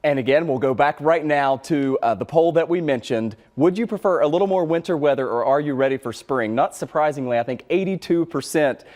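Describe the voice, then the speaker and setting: A man's voice: a little high, fairly quick, few pauses, a little mellow. An American man presenting live as a TV news reporter, explaining things in an informal, conversational way.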